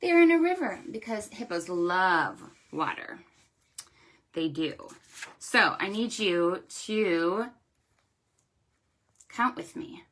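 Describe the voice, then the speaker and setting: A woman hosting storytime for preschoolers in an exaggerated sing-song way, encouraging them and pausing after her questions. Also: on the low side, expressive, fairly bright tone. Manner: sing-song, exaggerated delivery for small children. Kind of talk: interactive storytime for young children, counting rhymes.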